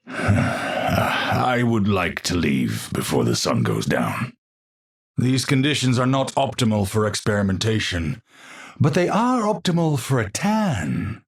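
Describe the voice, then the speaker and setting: An actor playing an eccentric, troubled genius physicist in a video game, a breathy voice and formal, precise, theatrical speech. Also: male voice, fairly low pitch, sing-song, slow pace, heavily acted. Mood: musing. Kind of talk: video game character voice lines.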